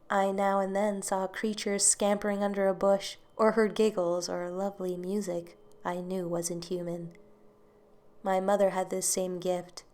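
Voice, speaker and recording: A woman narrating a first-person horror story in a soft, hushed way that sometimes drops to a whisper. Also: lowish voice.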